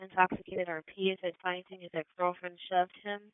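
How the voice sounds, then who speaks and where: A woman's voice: on the low side, little pitch movement, brisk, clean tone, dry recording. A woman working as a police and fire dispatcher over two-way radio, calling out incidents and numbers in a clipped, procedural way. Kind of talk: scripted-sounding radio dispatch calls. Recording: tinny narrow-band radio scanner feed.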